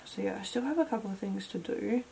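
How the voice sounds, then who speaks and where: Chatty young woman, gravelly. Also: mid-range voice, fast, dark tone. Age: 20s. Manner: chatty, rambling, many fillers and "like". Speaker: beauty vlogger. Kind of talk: casual clean-with-me chat. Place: cluttered bedroom beauty room, indoors. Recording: noisy home camera audio.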